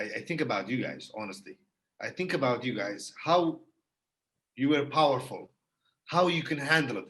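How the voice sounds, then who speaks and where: A man's voice: mid-range voice, a bit bright, rough recording. Earnest man. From Iraq. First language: Kurdish. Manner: earnest and emphatic, repeating phrases for effect. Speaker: guest. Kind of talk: heartfelt conversation.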